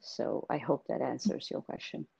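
A woman's voice: a bass voice, normal speed, vocal fry, warm tone, breathy edge, lo-fi sound. A woman, an author thoughtfully explaining her writing in an interview. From India. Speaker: author, guest. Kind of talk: interview answers.

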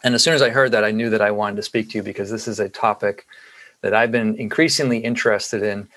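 A man's voice: on the low side, steady tone, quick pace, fairly bright tone. A man hosting a podcast interview, asking long questions full of restarts and fillers. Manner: rambling, many restarts and fillers. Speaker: podcast host. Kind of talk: conversational interview.

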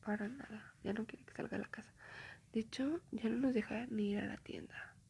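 A woman's voice: fairly high pitch, fairly even intonation, fairly quick, darkish tone. A young woman, whispering a chatty, gossipy ASMR storytime full of fillers. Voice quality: whispering, breathy.

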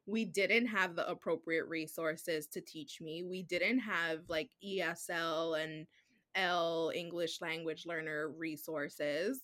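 A woman guest whose first language is Spanish, telling her story in a relaxed, chatty way. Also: low-pitched, slow pace. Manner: relaxed, chatty, heavy use of "like" and "um". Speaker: children's book author, guest. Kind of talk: casual podcast conversation.

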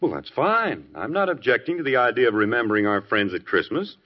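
A man's voice: medium pitch, very animated, brisk, husky. An American actor playing a wry, nostalgic middle-aged husband and father in a 1950s radio sitcom. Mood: wistful, exasperated. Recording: muffled lo-fi 1950s radio recording.

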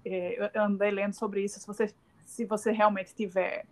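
A woman's voice: medium pitch, flat delivery, moderate pace. Hesitant Northeastern Brazilian woman. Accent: Northeastern Brazilian Portuguese. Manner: hesitant, many fillers and restarts, frequent tag questions. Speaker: interviewee, Brazilian emigrant. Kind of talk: casual remote interview.

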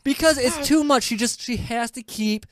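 A woman's voice: on the high side, moderate pace, dry recording, studio quality. A woman chatting casually as a podcast host reviewing a film, giggly and emphatic in how she tells it. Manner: animated, giggly, emphatic storytelling. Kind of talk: casual movie review chat.

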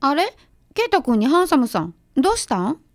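A woman's voice: sits high, big pitch swings, a little fast. A woman playing an easygoing, sweets-loving detective's assistant with a Kansai accent in a radio drama. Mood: casual. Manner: girlish, casual, easily delighted.

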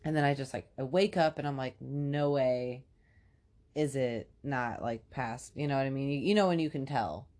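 A woman co-hosting a podcast, chatting casually in a rambling, filler-heavy way, her voice congested and raspy from a cold. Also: a bass voice, normal speed, a little mellow. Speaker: podcast co-host. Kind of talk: casual chat.

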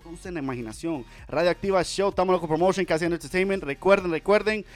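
A man hosting a casual Spanish-language radio podcast, talking with energetic, repetitive, playful hype. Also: high voice. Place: home studio in Las Vegas.

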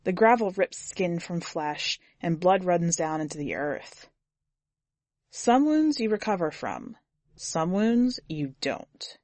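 A woman reading scripted first-person fiction as a narrator, with measured, grave, deliberate phrasing. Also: lowish voice, very animated, a little mellow, dry recording. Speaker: podcast editor and story narrator.

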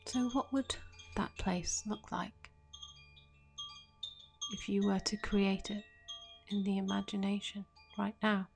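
A woman leading a guided meditation in a soft, soothing, gentle voice, leaving long pauses and trailing off breathily at the ends of phrases. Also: medium pitch, steady tone, creaky. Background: soft meditation music with chimes.

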